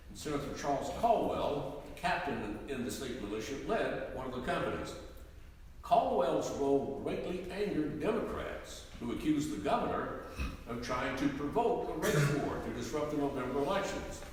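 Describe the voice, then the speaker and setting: A man narrating history from a script in a formal storytelling manner. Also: medium pitch. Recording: very echoey hall, distant, background noise.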